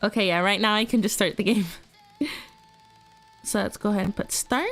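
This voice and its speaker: A woman's voice: mid-range voice, moderate pace, fairly bright tone. A young woman with a congested voice, chatting casually and rambling with lots of fillers as a livestream host. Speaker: VTuber streamer. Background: faint music.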